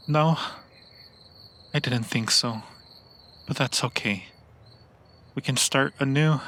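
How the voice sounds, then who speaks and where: Slow, creaky seductive vampire played by a man. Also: medium pitch, a bit bright, full character voice. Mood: tender, hopeful. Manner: slow, romantic, theatrical, lingering pauses. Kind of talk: intimate ASMR roleplay, improvised monologue.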